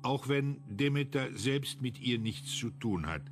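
An actor playing a self-assured, analytical investigator in a German radio crime drama, speaking in a formal, clipped manner. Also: male voice, mid-range voice, animated, a little slow, natural voice. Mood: critical, precise.

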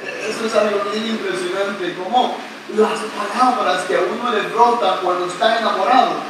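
A man's voice: sits high, steady tone, moderate pace. A man, a priest, giving a playful sermon to a large congregation, joking and leading them in call-and-response, recorded outdoors under a big covered pavilion from a camera far back in the crowd. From not Puerto Rican. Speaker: priest preaching. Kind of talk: sermon at a retreat Mass. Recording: echoey, distant, noisy outdoor pavilion.

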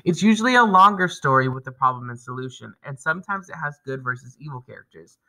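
Male schoolteacher, thirties, lively pitch movement and bright tone, explaining and reading to kids on a lesson video. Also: quick pace, rough recording. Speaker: elementary school teacher.

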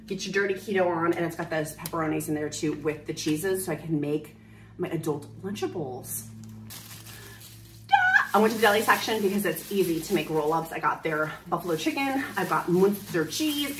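A woman's voice: on the low side, slight background noise, low-quality recording. A woman in her thirties gushing through a grocery haul vlog, bubbly and upbeat, filmed on a handheld phone. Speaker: vlogger. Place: grocery store aisles, then home.

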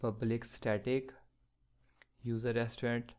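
A man teaching programming in a screencast, slowly dictating code step by step with fillers. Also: mid-range voice, little pitch movement, darkish tone. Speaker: programming tutor. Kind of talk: screencast coding tutorial.

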